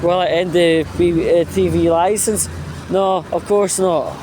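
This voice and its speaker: Male vlogger, unhurried and halting, emphatic and foul-mouthed, informal rant, noticeable street-like background noise. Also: high-pitched, fairly even intonation. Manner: blunt, sweary, emphatic. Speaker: vlog host.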